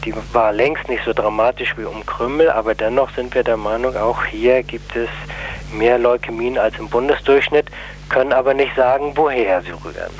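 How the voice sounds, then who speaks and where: A man giving a radio interview, explaining his case in a deliberate, hesitant manner. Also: mid-range voice, a little hiss or hum, rough recording. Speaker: interview guest, anti-nuclear plaintiff.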